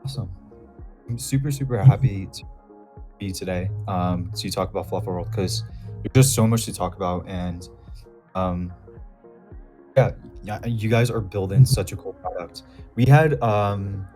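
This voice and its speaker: A man hosting a casual call-in interview, upbeat and chatty, with a low, mellow voice. He trips over the odd filler as he talks, and there is faint music playing behind him. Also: unhurried, pauses often. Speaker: host.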